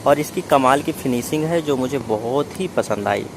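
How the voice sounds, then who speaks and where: A man's voice: medium pitch, normal speed, noisy background. A man narrating a product unboxing in a methodical, explanatory way, step by step. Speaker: product reviewer.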